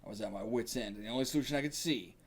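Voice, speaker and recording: Man acting out gruff, remorseful old boxing coach. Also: mid-range voice, racing, close to an everyday voice. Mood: solemn, remorseful. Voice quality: gravelly.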